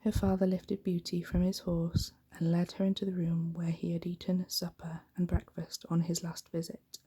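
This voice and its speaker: Breathy, soft-spoken woman reading a bedtime story. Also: lowish voice, monotone, moderate pace. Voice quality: breathy, often near whisper. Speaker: ASMR storytelling host.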